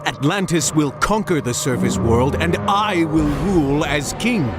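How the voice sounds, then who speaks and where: Video game villain king, high-pitched for a man, menacing, slow grandiose proclamations, music behind. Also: expressive. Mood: grandiose, triumphant. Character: megalomaniac villain tyrant king of an undersea realm.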